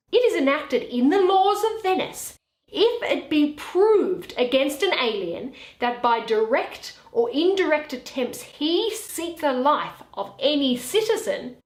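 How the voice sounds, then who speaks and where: A woman playing a stern, commanding young lawyer, speaking formal Shakespearean verse with deliberate emphasis. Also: high-pitched, full character voice. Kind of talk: Shakespearean stage verse reading. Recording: roomy, echoing room sound.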